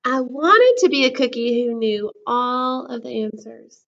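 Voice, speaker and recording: Woman voicing an earnest high-voiced storybook cookie. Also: measured pace, lo-fi sound. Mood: wistful. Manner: friendly, expressive storytelling. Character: young cookie, earnest, self-doubting storybook narrator.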